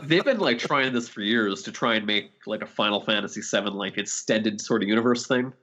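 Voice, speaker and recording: A man's voice: lowish voice, a little fast, a bit bright. A man co-hosting a podcast, discussing video games in a casual, conversational way. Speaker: podcast co-host. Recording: slightly dull, lo-fi audio.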